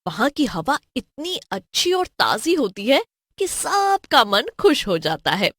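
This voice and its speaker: A woman's voice: high-pitched, big pitch swings, measured pace, fairly bright tone, dry recording, studio quality. A woman narrating a scripted horror story as a storyteller.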